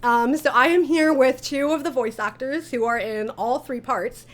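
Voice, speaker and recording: A woman's voice: sits high, moderate pace. A woman hosting an interview in a casual, chatty way. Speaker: interview host and audio drama creator. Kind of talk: casual online cast interview.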